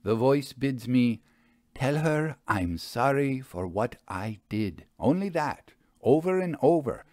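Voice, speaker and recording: A man voicing a troubled, solemn male magician in an audio story, speaking in a halting, deliberate way. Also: mid-range voice, animated, a little mellow, no room sound, clean studio sound. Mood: grave, haunted. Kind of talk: audio storybook character acting.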